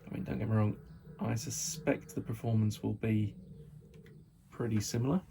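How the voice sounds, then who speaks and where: A slightly croaky man chatting casually as he shows off and tests a model locomotive, repeating his praise and filling pauses with ums. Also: lowish voice, steady tone, background noise. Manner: chatty, repeats praise words, many fillers. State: croaky from hay fever and little sleep. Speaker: model railway hobbyist reviewer. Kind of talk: casual unboxing and test-run commentary.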